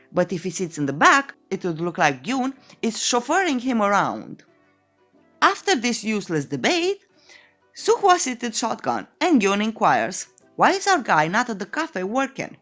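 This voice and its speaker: A woman's voice: medium pitch, huge pitch swings, unhurried, fairly bright tone, soft background music. A woman reading a scripted story recap as a narrator, in a playful, tongue-in-cheek way. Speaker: narrator of a comic recap channel.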